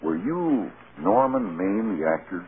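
A stern, low-voiced judge on old radio. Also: male voice, expressive, measured pace. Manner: clipped, formal, stern. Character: stern, formal male court judge, grave and reproving. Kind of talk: 1930s radio acting. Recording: scratchy, tinny 1930s radio broadcast.

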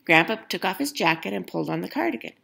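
A woman reading a children's picture book aloud in an expressive storytelling style. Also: fairly low pitch, fast talker, gravelly, a little hiss or hum.

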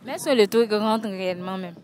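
A woman's voice: medium pitch, fast talker, darkish tone. A young woman interviewing passers-by on a market street with a handheld mic, asking blunt, teasing questions. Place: busy outdoor market street. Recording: outdoor crowd noise. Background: crowd.

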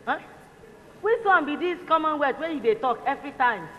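A woman's voice: high voice, lively intonation, brisk. A Nigerian woman on stage playing a brash, flirtatious, scornful cleaner. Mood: offended. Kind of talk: comic stage acting in Pidgin. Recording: echoey stage hall, muffled, faint noise.

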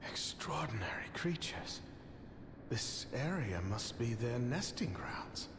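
An actor playing a gentle, curious explorer in a fantasy video game, speaking softly. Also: male voice, medium pitch, animated, slow, noisy background. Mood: awed.

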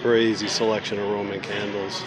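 A man's voice: lowish voice, level tone, normal speed, over a noisy crowd, poor audio. A man vlogging as he walks a fireworks store with his son, holding the camera and eagerly reading out product names and prices. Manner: enthusiastic, reads out prices and names. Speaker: vlogger, father. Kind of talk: casual shopping commentary. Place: large indoor fireworks store. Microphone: handheld camera. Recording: store music playing loudly behind.

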